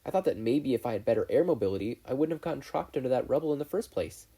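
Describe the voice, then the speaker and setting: A reader voicing a bright, friendly, playful teenage girl student in a fan fiction audiobook. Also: medium pitch, animated, fast talker, breathy edge, pitched above the performer's own voice. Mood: matter-of-fact. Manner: upbeat, earnest, playful.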